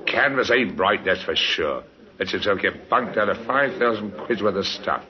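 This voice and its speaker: A man's voice: fairly low pitch, animated, moderate pace, a crowd in the background, lightly acted. An actor playing a wary working-class British pub barman in a radio drama, blunt and slangy. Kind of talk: 1950s radio drama acting. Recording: muffled old radio recording.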